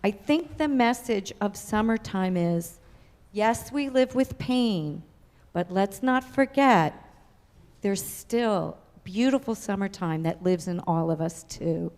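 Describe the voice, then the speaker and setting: A woman musician speaks to the congregation in a relaxed, conversational way. Her unhurried words sit at a middle pitch. They carry a long reverb from the sanctuary. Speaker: cellist. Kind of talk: short spoken intro to a music piece. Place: church sanctuary.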